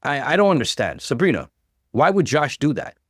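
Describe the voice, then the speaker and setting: A man's voice: mid-range voice, big pitch swings, fairly quick, fairly bright tone, husky, dry recording, studio quality, voice pushed up. A man voicing a confused, hurt wife who speaks hesitantly in a story reading. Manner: hesitant, faltering.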